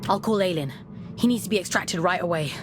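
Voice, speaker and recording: A woman's voice: fairly low pitch, quick pace, noisy background, natural voice. An actress playing a determined, guarded young woman prisoner in a sci-fi game, terse and earnest. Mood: concerned. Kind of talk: cinematic video game acting. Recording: loud music behind.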